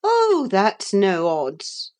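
A woman's voice: sits high, animated, measured pace, darkish tone, pitched above the performer's own voice. A woman voicing a bitter, defiant former servant woman who speaks her mind scornfully. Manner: scornful, fierce, tearful outbursts.

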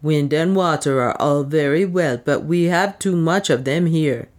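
A woman's voice: low voice, a little slow, a little mellow. A woman voicing a humble, fatalistic, hard-drinking male dwarf in an audiobook, with formal phrasing.

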